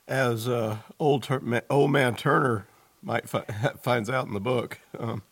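A man hosts a radio interview in a gravelly voice recorded dry and close. He asks casual questions with small hesitations and laughs easily. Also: medium pitch, measured pace, no room sound. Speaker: radio host.

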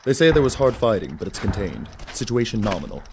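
Deep-voiced military signals aide. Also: male voice, very animated, fast talker, background noise. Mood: dutiful. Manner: clipped military reporting. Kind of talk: audio drama acting.

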